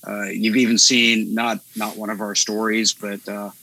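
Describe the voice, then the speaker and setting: Male podcast co-host, lowish voice with a bright edge, reflective and meandering. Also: flat delivery, normal speed, vocal fry, low-quality recording. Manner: thoughtful, meandering, many fillers. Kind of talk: casual conversation.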